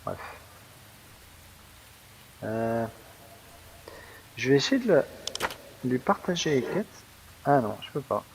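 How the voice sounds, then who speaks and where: A man's voice: mid-range voice, sing-song, takes it slowly, gravelly, long pauses, slight background noise, poor audio. A man hosting a let's play, giving casual, rambling commentary full of hesitations and restarts as he plays.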